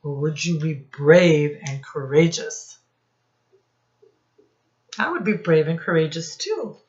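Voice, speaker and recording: A woman's voice: sits low, lively intonation, slow, a little mellow, dull audio. A woman speaking as a teacher in a children's read-aloud, explaining words and putting questions to her young listeners. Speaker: teacher reading storybook to children.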